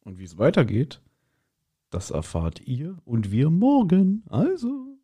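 A man's voice: fairly high pitch, wildly swooping pitch, measured pace, warm tone, breathy edge. A man co-hosting a podcast, casually retelling a story and acting out the quotes as he goes. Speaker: podcast co-host. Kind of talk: casual chat, retelling an audio drama episode.

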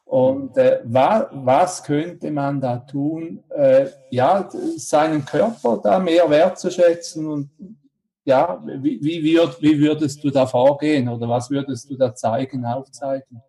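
A man's voice: fairly high pitch, slow, warm tone. A Swiss man with a Swiss German accent interviewing a guest, hesitating with fillers and restarts as he shapes his questions. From Switzerland.

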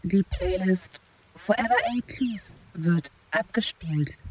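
A man voicing an impersonal smart-speaker assistant that announces playback in a flat, measured, husky way for an audio story. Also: high voice, heavily acted, low-quality recording. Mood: impassive.

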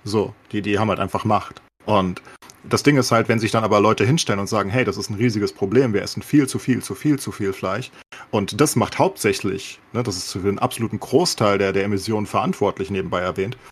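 A man speaks in a slightly low, husky voice. He talks very quickly, in emphatic, colloquial language, as in an opinionated podcast discussion. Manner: emphatic, colloquial with English slang. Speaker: podcast co-host.

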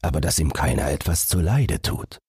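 A man voicing a kindly, commanding fairy-tale king in a gentle, measured way. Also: very deep voice, animated, slightly rough, dry recording, clean studio sound, heavily acted. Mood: kindly, stately.

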